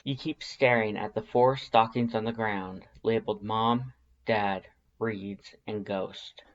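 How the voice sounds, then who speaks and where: A man reading fan fiction aloud as expressive scripted story narration. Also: lowish voice, slow, dull audio.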